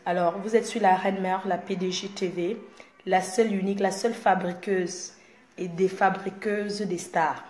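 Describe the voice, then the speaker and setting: A Cameroonian woman speaks straight to camera with a Cameroonian French accent. Her tone is boastful, confrontational and mocking, and her pitch sits in a middle range. The recording has a noticeable roomy echo. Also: steady tone, normal speed, a little mellow. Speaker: blogger. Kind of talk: direct-to-camera rant.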